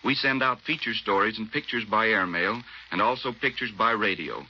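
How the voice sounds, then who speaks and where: An American man speaks as an army officer in a low, very sharp voice. His answers are clipped and matter-of-fact, read stiffly for a muffled 1940s radio interview. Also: animated, moderate pace, slightly rough.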